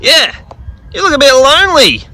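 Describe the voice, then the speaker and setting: A man's voice: very high-pitched, huge pitch swings, normal speed, bright, forceful tone, voice pushed up, exaggerated voice. A man playing an awkward, sheepish reformed bad guy who rambles with lots of fillers. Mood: chirpy. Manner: rambling, hesitant, full of fillers.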